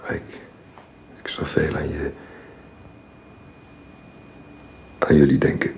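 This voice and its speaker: An actor playing a middle-aged father in a radio drama, halting, formal and earnest as he speaks. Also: rumbling low, completely flat delivery, dark tone, in a whisper. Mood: hesitant, subdued. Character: grateful, awkward father taking leave, reserved and earnest. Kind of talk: naturalistic radio drama acting. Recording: slightly dated radio drama recording.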